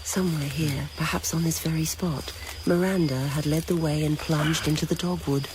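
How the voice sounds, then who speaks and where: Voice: mid-range voice, steady tone, normal speed. A narrator reading literary, scripted prose for a radio drama. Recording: noisy old radio recording.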